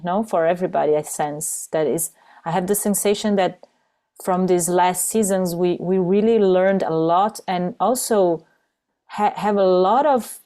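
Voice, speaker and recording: A woman whose first language is Portuguese, speaking English with a light accent over a video call. Her voice sits low and mellow. She restarts her sentences often and pauses on fillers as she searches for words. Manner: halting, many restarts and fillers. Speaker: trainer for mothers. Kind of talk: casual video-call interview.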